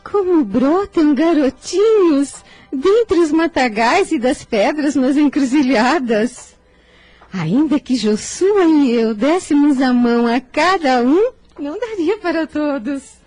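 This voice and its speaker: Compassionate mother for radio drama, female actor: high, soft-toned voice, tender delivery. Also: expressive, slow pace, dry recording, poor audio. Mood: amused, tender.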